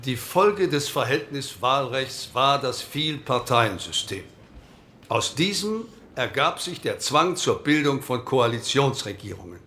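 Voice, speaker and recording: An insistent postwar delegate. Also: male voice, medium pitch, expressive, measured pace, pushed and bright, a little hiss or hum. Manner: oratorical, insistent, argues back when heckled. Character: postwar male parliamentary delegate, combative and earnest. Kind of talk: radio drama, parliamentary speech.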